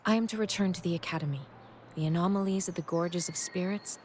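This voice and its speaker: A woman's voice: on the low side, quick pace, a little mellow, slight background noise, close to an everyday voice. A woman voicing a composed, formal researcher in a video game, with a clinical, matter-of-fact manner.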